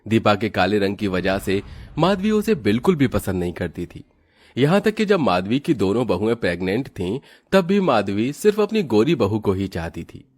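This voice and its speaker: A man narrating an animated moral tale for children in a scripted storytelling style. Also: lowish voice, moderate pace.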